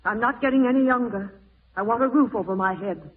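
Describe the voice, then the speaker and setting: A woman's voice: a little high, brisk, far from the mic, natural voice. An actress playing an anxious but stubborn woman who is no longer young, in an earnest, dramatic 1940s radio style. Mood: firm. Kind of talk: 1940s radio horror acting. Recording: noisy, tinny old radio broadcast recording.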